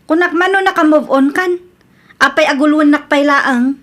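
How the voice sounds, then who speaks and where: Very high-voiced exasperated ex-girlfriend played by a woman. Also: moderate pace, fairly bright tone. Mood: exasperated, scolding. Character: exasperated young woman fed up with her ex. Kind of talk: comedy radio drama acting.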